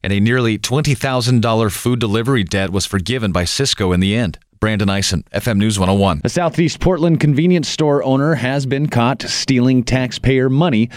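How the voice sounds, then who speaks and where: A man's voice: mid-range voice, a little fast, few pauses, no echo. American male news anchor, dry studio sound. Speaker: radio news anchor and reporter. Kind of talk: scripted news narration.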